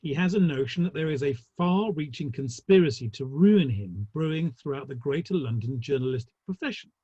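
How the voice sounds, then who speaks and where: Man playing husky, animated Victorian gentleman. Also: a little high, fairly quick, dry recording. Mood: tactful, placating. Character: tactful, conciliatory Victorian theatre gentleman. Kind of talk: dramatic play reading. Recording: dull, lo-fi online audio.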